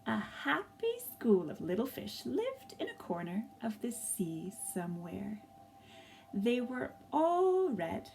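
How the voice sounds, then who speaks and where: A woman reading a story aloud for young children, gently and expressively, pausing to ask them questions. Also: on the high side, big pitch swings, gravelly, a little hiss or hum.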